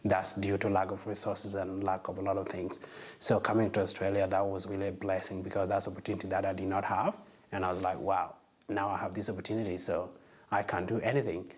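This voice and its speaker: A young man from South Sudan speaks in a low voice, reflective and earnest. He tells his own story at an unhurried pace in a relaxed sit-down interview. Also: breathy edge. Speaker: medical student, interviewee. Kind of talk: casual interview.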